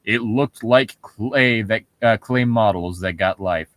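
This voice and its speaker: A man's voice: fairly low pitch, slow pace, fairly bright tone, no room sound. A man co-hosting a show, reading stories aloud and breaking off for wry, nitpicking comments in a casual way. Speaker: podcast co-host reading and mocking internet horror stories.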